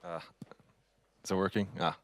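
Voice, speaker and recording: A man's voice: low-pitched, fairly even intonation, moderate pace. A man with a Californian accent, earnestly introducing himself on a panel. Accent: American, Californian. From Los Angeles. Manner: earnest, thoughtful. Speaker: panelist, VR company owner.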